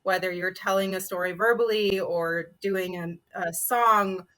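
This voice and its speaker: A woman's voice: mid-range voice, steady tone, unhurried, a bit bright. A woman on a storytelling panel, talking casually and conversationally while stressing her points. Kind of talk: casual panel discussion talk.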